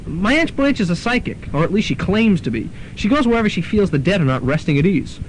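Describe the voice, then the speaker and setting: A man's voice: sits high, very animated, fairly quick. A young man playing an earnest, slightly nervous college student in a student radio drama. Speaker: Lance. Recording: noisy old radio tape.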